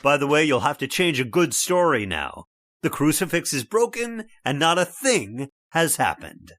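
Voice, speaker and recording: A man's voice: fairly high pitch, very animated, normal speed, no echo, clean studio sound. An actor playing a stubborn, scoffing middle-aged father with a brash manner, in old-time radio drama style. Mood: gloating. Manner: brash, scoffing, loud laughs.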